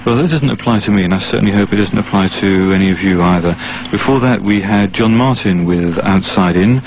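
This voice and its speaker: A man working as a radio DJ, announcing records in a measured, slightly hesitant way on a 1980s radio recording. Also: low voice, background noise. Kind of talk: music radio presenting. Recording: 1980s off-air radio aircheck.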